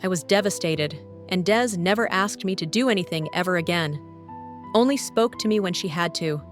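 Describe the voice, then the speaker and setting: A woman voicing a grieving, soft-hearted female warplane haunted by war, speaking in a somber, confessional way in an animated drama. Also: fairly low pitch, moderate pace, soft background music, natural voice. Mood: grieving, guilty.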